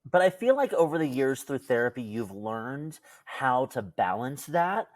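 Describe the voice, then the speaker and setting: A man's voice: mid-range voice, sing-song, unhurried, dry recording, studio quality. A man co-hosting a podcast, telling stories in an informal, conversational way. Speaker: podcast co-host. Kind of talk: casual podcast chat.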